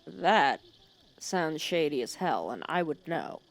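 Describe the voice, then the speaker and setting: Woman acting a creaky-voiced, teasing, protective villainess. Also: low-pitched, sing-song, slow pace, full character voice. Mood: disapproving, suspicious. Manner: scolding yet caring, sarcastic, dropping into hushed breathy asides. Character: teasing, protective female villain nursing her sick hero nemesis. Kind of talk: ASMR roleplay audio drama.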